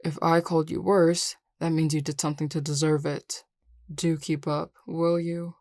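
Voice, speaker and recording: A woman's voice: low-pitched, level tone, normal speed, darkish tone, no echo, studio quality. A woman playing a grumpy young male coworker in an audio roleplay, curt and sarcastic with exasperated delivery. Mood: sardonic, irritated. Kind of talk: scripted audio roleplay acting.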